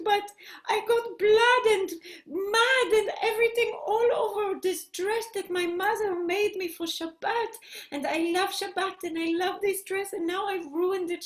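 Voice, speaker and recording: A woman's voice: high-pitched, roomy sound. A woman voicing an earnest, kind-hearted little girl in a children's story, hesitant and repeating herself.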